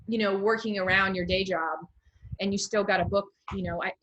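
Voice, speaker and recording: A woman's voice: medium pitch, steady tone, fairly quick, fairly bright tone, highs cut off. An American woman hosting a casual interview in a chatty, informal way. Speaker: interview host.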